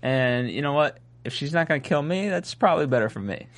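A man with a raspy voice co-hosting a casual TV recap chat, rambling through his points. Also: on the high side, animated, brisk, no room sound. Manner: rambling, hesitant, many restarts. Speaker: podcast co-host.

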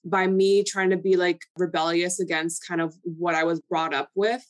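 Brief: young Canadian woman, Polish first language, lowish voice, rambling candid guest. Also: little pitch movement, moderate pace. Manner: chatty, filler-heavy, candid. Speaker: guest influencer. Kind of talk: casual podcast interview.

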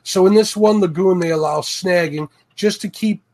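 A man's voice: sits high, moderate pace, a little mellow. A man from Chicago with a Chicago accent, hosting a fishing livestream in a rambling, self-correcting chat.

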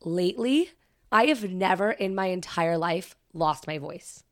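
A young woman co-hosting a podcast in casual chat, her voice hoarse as she recovers from losing it. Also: on the low side, expressive, a little slow, dry recording, studio quality. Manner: chatty, says "literally" and "like" often. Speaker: podcast co-host.

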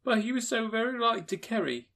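A man voicing a gentle, anxious mother in a storybook reading, speaking softly and tenderly. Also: very high voice, animated, a little fast, gravelly, pitched above the performer's own voice, full character voice. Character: gentle anxious mother, worn but steady.

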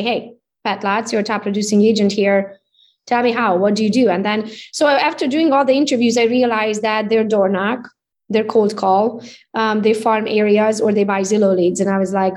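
A woman in her forties from Romania with a Hungarian accent, telling her story as an interview guest in an upbeat, animated way. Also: mid-range voice, normal speed. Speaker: real estate agent guest. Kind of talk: casual interview chat.

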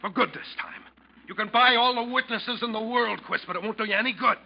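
An actor playing a sardonic, insistent ship's officer who probes and accuses, in an old-time radio drama. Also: male voice, very high-pitched, fairly quick, vocal fry, heavily acted. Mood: bitter anger. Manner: probing, sarcastic, insistent. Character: accusing, sardonic male ship's officer confronting his captain. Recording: muffled vintage radio drama recording.

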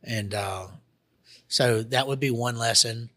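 A man's voice: medium pitch, bright, forceful tone. A man with a Southern US accent, a guest talking business in a casual interview, full of restarts and fillers. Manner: halting, many restarts and fillers.

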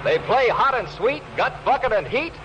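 Male American 1940s variety announcer, very high-pitched, showy jive patter, muffled vintage radio recording. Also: big pitch swings, moderate pace, a little hiss or hum. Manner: flamboyant, wordy showman. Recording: band-limited vintage 1940s radio, loud music. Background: loud music.

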